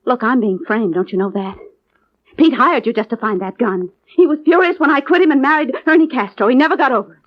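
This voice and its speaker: An actress playing a defensive, pleading young widow in a 1950s radio crime drama, emphatic and indignant. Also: female voice, sits high, fast talker. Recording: muffled old radio recording.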